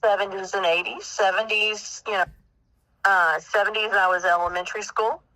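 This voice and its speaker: A woman's voice: mid-range voice, fairly even intonation, normal speed, pushed and bright, poor audio. A woman in her fifties from South Carolina with a Southern US accent, chatting casually in a family interview and thinking aloud as she reminisces. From South Carolina, United States. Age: 50s.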